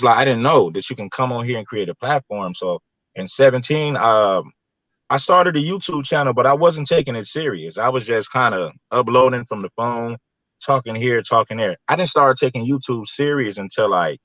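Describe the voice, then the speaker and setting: Muffled american guy from LA, mid pitch, dry sound, rambling casual youtuber chat, repeats himself. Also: animated, moderate pace, fairly bright tone, dry recording. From Los Angeles, California. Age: 30s or early 40s. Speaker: YouTube content creator, guest. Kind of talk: casual conversational interview.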